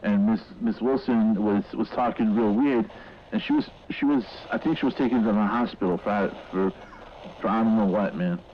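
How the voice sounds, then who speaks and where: A man playing a shaken mall worker giving a halting, rambling witness account in a horror audio drama. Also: on the low side, highs cut off, slight background noise, close to an everyday voice. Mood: shaken, bewildered. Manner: halting, rambling, repeating words. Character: shaken male mall worker recounting a horrific incident.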